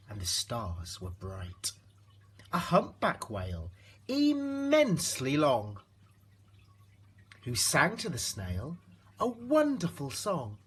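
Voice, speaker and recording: قارئ قصص أطفال بنبرة شديدة التلوين وصوت مبحوح. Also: male voice, mid-range voice, a bit bright, husky. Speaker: storybook reader. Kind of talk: expressive rhyming children's story narration.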